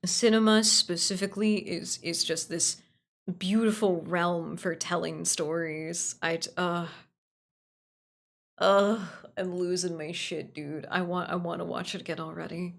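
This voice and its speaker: A woman's voice: fairly low pitch, fairly even intonation, measured pace, gravelly. A woman chatting casually on a livestream, answering viewers in a gushing way and repeating phrases for emphasis. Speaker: livestream host.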